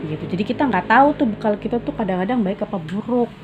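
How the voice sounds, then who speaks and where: A woman's voice: medium pitch, animated, fast, narrow, tinny audio. Informal woman storyteller, noisy background. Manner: informal, explanatory. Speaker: storyteller reading aloud. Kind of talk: storybook reading with casual explanations.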